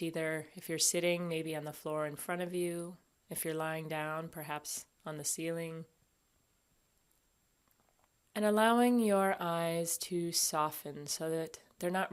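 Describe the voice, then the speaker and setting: A woman guiding a meditation as an instructor, speaking gently and without hurry, leaving long pauses. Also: fairly low pitch, clear, resonant tone. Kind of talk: guided body scan meditation.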